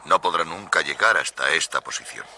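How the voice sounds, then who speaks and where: An actor playing a formal, instructive scientist leading an expedition in a suspense radio drama. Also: male voice, very deep voice, a little fast, vocal fry, bright, forceful tone, slight background noise, heavily acted. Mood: grave. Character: Dr. Crawford, grave male expedition leader, a scientist.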